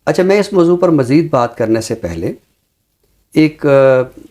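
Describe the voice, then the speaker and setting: A man with a Pakistani accent giving reflective commentary like a teacher, measured and explanatory, with deliberate pauses. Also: fairly high pitch, expressive, dark tone. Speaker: teacher and programme guest. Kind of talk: reflective social commentary in an interview.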